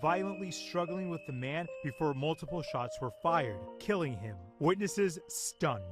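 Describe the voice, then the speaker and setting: Man, news anchor, formal broadcast read, slightly high voice, music underneath. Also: expressive. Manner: measured, formal delivery.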